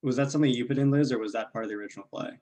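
A man's voice: mid-range voice, rapid-fire, dark tone. A man in a casual group chat, talking in a wry, joking way.

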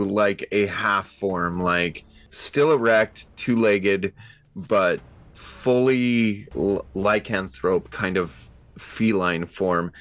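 A man playing a tabletop game, describing his character's actions in a halting, theatrical way. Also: on the low side.

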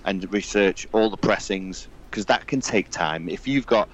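Man, podcast host, low voice, muffled lo-fi sound, chatty and keen. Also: unhurried. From Wales. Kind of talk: casual chat.

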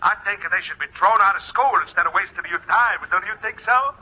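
A sly Italian snitch, raspy, sharp and high, old radio comedy, dull sound. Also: male voice, fairly quick, heavily acted. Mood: scheming. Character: sly Italian man, a tattletale. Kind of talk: 1950s radio comedy acting.

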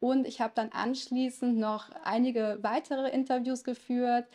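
A German-raised woman in her thirties, Italian her first language, talks through her legal career in a relaxed interview. Her voice sits slightly high. She hesitates often with fillers but keeps a friendly, chatty flow. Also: unhurried. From Lower Saxony, Germany. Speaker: lawyer, podcast guest. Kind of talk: conversational interview answers.

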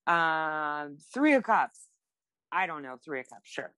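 Low-voiced, playful woman. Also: big pitch swings, slow, a bit bright, dry recording. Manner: playful, irreverent, self-deprecating. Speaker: podcast co-host. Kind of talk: casual banter on a tarot quiz podcast.

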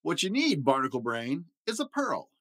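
A man's voice: fairly high pitch, wildly swooping pitch, moderate pace, fairly bright tone, no echo, clean studio sound. A man voicing a tough, sarcastic but helpful lobster in a children's storybook. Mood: advising.

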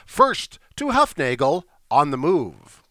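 A man hosting a sports show, talking through team news in a brisk, conversational way. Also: a little high, very animated, a bit bright, slight background noise. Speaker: radio show host. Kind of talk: scripted sports commentary.

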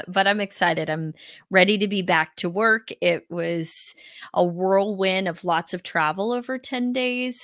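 A woman's voice: mid-range voice, bright, forceful tone, no room sound, clean studio sound. A woman co-hosting a business podcast, cheerful and chatty, explaining things point by point. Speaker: podcast co-host. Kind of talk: casual business podcast chat.

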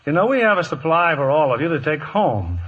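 A man's voice: a little high, very animated, brisk, husky. A man hosting a 1950s radio theater program with scripted stage banter. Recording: dull, vintage 1950s radio broadcast.

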